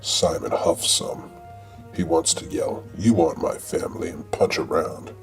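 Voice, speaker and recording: Super deep croaky guy narrating a spoof radio play totally deadpan, music going behind him. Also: a little slow. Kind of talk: scripted comic narration of an audio drama.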